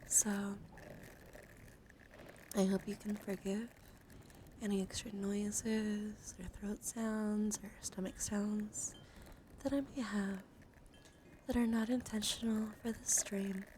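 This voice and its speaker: A woman hosting an ASMR livestream, chatting gently and soothingly to viewers in a hushed voice that often drops into whispers, slightly strained from just waking up. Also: mid-range voice, flat delivery, slow pace, pauses often, slight background noise.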